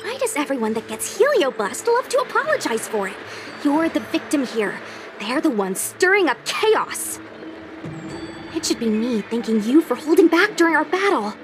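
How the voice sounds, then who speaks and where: A woman's voice: high voice, sing-song, normal speed, music in the background. A woman voicing an earnest, plucky, slightly bashful young female guard in an anime game cutscene. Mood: playful, modest.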